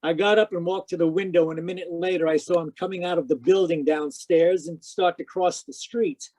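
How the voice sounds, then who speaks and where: A man's voice: on the high side, steady tone, fairly quick, a little mellow, lightly acted. An actor playing a wry, inquisitive male private detective in a radio drama, telling his story in hard-boiled style. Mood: wry, narrating. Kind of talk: radio detective drama, first-person narration and dialogue. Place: live remote performance over video call.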